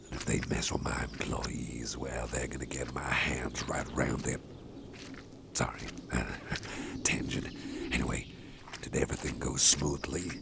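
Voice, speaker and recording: A man playing a whispering, gravelly softie boss. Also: a bass voice, fairly even intonation, measured pace, heavy background noise, full character voice. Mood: gentle. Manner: soft, close whispering with chuckles. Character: protective, soft-hearted male boss. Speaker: ASMR roleplay performer. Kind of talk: ASMR personal attention roleplay.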